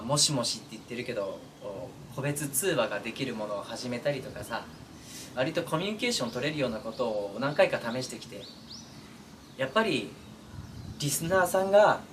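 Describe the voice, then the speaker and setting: A man speaking as a streamer and voice actor, chatting casually and earnestly to his fans in an intimate, pausing way. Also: mid-range voice. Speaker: voice actor and streamer addressing listeners. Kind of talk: casual, heartfelt chat to fans. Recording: distant mic, clearly noisy background.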